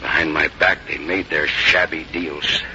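An actor playing a weary, proud, resolute general in a 1950s radio drama, speaking in a grave, formal, oratorical manner. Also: male voice, extremely low pitch, fairly even intonation, a little slow, bright, forceful tone, exaggerated voice. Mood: bitter. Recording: muffled old radio broadcast, faint noise.

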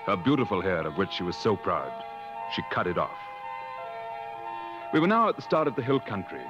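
A measured, reasonable explorer guy in a fifties radio play, very expressive, dull old broadcast. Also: low-pitched, over music. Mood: solemn storytelling. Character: reasonable, gently teasing gentleman explorer and narrator. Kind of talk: 1950s radio drama acting and narration.

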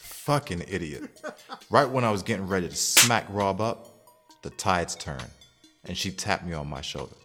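A man's voice: deep voice, lively intonation, normal speed, loud music behind the voice. A man playing a confident, smitten young single man in an audio drama, talking in a slangy, conversational way. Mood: reflective. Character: cocky, smitten young single man telling his story. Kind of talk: audio drama narration and dialogue.

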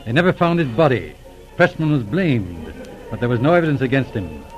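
Guy playing a calm shrewd police inspector in an old radio show, courteous, lots of rise and fall in the voice. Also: medium pitch, fairly quick, highs cut off, faint music behind, close to an everyday voice. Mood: calmly probing. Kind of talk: 1940s radio drama acting. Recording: old radio drama recording.

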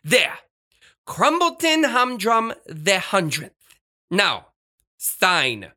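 An actor playing a flamboyant, fussy, excitable radio star with emphatic, theatrical delivery in a children's audio drama. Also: mid-range voice, extremely slow, slight background noise, full character voice. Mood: exasperated, bossy.

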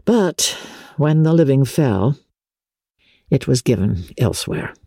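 Classic storybook gentleman officer performed by a woman: genteel, aggrieved, confiding, soft-edged husky delivery with expressive swings. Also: a bass voice, no room sound, clean studio sound, full character voice. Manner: measured, plaintive, confiding. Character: smooth-talking, wronged young gentleman officer, wounded and genteel.

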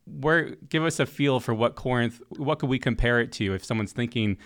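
A man's voice: mid-range voice, moderate pace, a bit bright. A man from Massachusetts hosting a casual discussion, friendly and rambling with many restarts. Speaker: podcast host.

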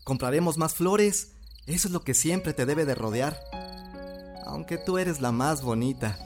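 An actor playing a tender, devoted rural Mexican man in an audio drama, with a Mexican accent and a gentle, coaxing way of talking. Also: on the high side, measured pace, soft background music. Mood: affectionate.

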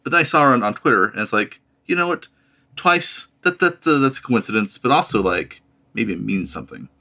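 A man, telling things casually and haltingly, repeating words as he goes. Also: mid-range voice, animated, pushed and bright. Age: late 30s. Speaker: podcast guest. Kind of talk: casual chat.

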